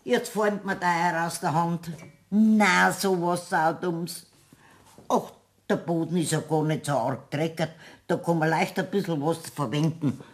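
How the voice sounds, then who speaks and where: A woman's voice: fairly low pitch, measured pace, lightly acted. An actress playing a talkative, scatterbrained housekeeper woman with a Bavarian accent in a radio play, colloquial and full of exclamations. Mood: flustered. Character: chatty, well-meaning, scatterbrained housekeeper woman. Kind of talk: German radio play acting.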